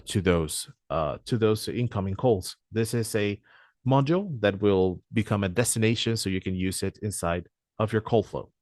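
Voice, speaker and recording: Deep-voiced man presenting a webinar. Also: dry recording, studio quality. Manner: hesitant, frequent "uh" fillers. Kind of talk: software demo walkthrough.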